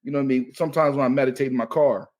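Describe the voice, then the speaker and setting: A man's voice: medium pitch, steady tone, racing, a little mellow. A man in his thirties from Maryland, a comedian guest talking casually and loosely, often saying "you know what I mean.". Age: 30s. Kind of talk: casual podcast chat.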